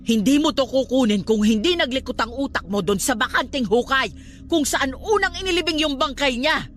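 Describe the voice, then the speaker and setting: High-pitched, scolding Filipino aunt played by an actress. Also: female voice, moderate pace, a little hiss or hum, natural voice. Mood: stern. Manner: scolding, dramatic, emphatic. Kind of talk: radio drama acting.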